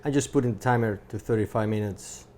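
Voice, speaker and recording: A man's voice: lowish voice, quick pace, a little mellow. A young adult man bantering casually as a podcast co-host. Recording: faint background noise and room ambience.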